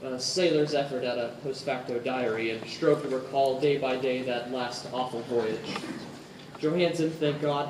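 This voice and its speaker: A man's voice: medium pitch, lightly acted. A man playing an earnest, haunted 1920s investigator, narrating formally in a live radio play. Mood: grave, haunted. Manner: formal, literary, deliberate. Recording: echoey, distant, noisy theatre recording.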